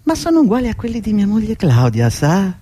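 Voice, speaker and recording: A man's voice: high-pitched, wildly swooping pitch, a little fast, mellow, breathy edge, no room sound, heavily acted. An actor playing an older male employee in a radio drama, fussy and querulous, stammering repeated protests. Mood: distracted, admiring. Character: old loyal male employee, flustered, indignant, querulous. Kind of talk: theatrical radio drama acting.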